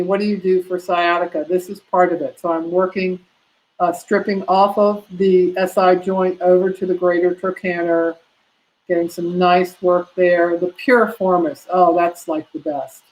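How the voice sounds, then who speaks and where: Casting: lower-voiced woman instructor, casual and rambling, webcam class feel. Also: steady tone, normal speed, a little mellow, rough recording. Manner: chatty, many fillers, talks to herself while clicking slides. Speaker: prenatal massage instructor. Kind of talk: live online class, casual teaching.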